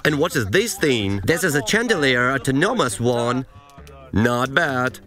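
A man's voice: mid-range voice, animated, measured pace. A young man chatting casually as he shows off a homemade tent in a forest, recorded on a camera mic. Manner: chatty, showing off his build. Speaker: vlogger. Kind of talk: casual outdoor DIY vlog.

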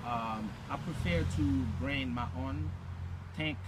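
Earnest Haitian-accented man, outdoor traffic. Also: mid-range voice. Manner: halting, earnest, non-native phrasing. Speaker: artist guest. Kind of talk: casual interview. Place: outdoors by a stone balustrade. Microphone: phone held at arm's length. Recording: phone video outdoors with loud traffic noise.

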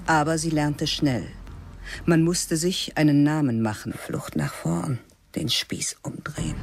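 A cold, clipped biology teacher. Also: female voice, sits low, a little mellow, slight background noise. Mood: cool, clipped. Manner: cool, clipped, detached. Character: stern aging female biology teacher. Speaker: narrator and lead character. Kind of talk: radio play narration.

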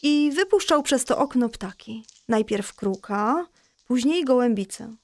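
A patient mother in a children's radio play. Her voice sits fairly high and is recorded close and dry. She tells a Bible story in a reassuring, explanatory way. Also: expressive, a little slow, no echo. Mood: patient, explaining. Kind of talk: scripted children's audio drama storytelling.

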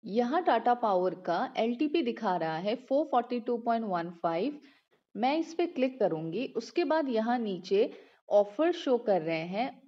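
A woman hosting a finance channel, giving a steady, step-by-step scripted explanation. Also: a little high. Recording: roomy, slightly dull home recording.

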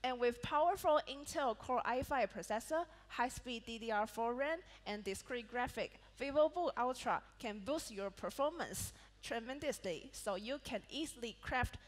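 A woman's voice: fairly high pitch, animated, moderate pace, hardly any pauses. A woman with a Malaysian English accent, presenting a product keynote on stage and reading prepared points with small slips and restarts. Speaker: product manager presenting a laptop launch. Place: large event hall on a stage. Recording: reverberant event hall sound.

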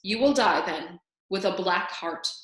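A woman voicing a pompous, stern male head mouse who judges a trial, speaking in loud, slow, formal pronouncements. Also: lowish voice. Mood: cold. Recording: echoey room.

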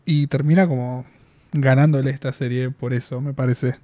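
A man in his twenties with an Argentine Spanish accent, talking through a casual spoken review in a small room on a boom mic, chatty and quick to laugh, with drawn-out fillers. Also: steady tone, warm tone, no echo. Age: 20s. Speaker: reaction video host. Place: small room in front of packed collectible shelves. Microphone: studio mic on boom arm, headphones on.

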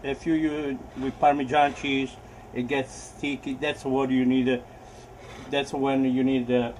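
An older man, chatting while cooking in a home kitchen, halting and repeating himself, on the camera mic. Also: medium pitch, flat delivery, darkish tone, a little hiss or hum, low-quality recording. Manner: halting, repeats words and restarts often. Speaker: home cook, father of the host. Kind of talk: casual kitchen chat.

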